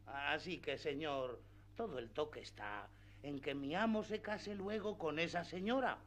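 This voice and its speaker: Eager, simple peasant squire. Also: male voice, on the high side, unhurried, a bit bright. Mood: earnest pleading. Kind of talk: radio theatre acting of a classic novel.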